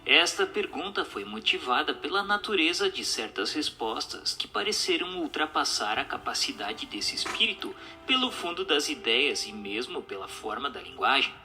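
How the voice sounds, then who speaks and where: An actor in a radio drama playing a formal, inquisitive séance questioner and narrator who speaks in a measured, scripted way. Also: male voice, fairly high pitch, sing-song, pushed and bright, full character voice. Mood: explanatory. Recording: music under the voice.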